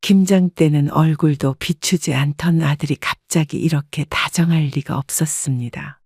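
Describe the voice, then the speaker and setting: Calm woman narrating a reflective story. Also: low-pitched, normal speed, husky, no room sound, studio quality. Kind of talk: scripted first-person story narration.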